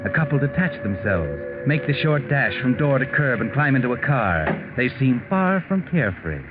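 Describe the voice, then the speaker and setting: An actor playing an ominous, mysterious night-walking storyteller, narrating a 1940s radio mystery in a portentous, sardonic way. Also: male voice, medium pitch, sing-song, normal speed, natural voice. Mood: wry, foreboding. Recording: tinny old radio recording. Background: music.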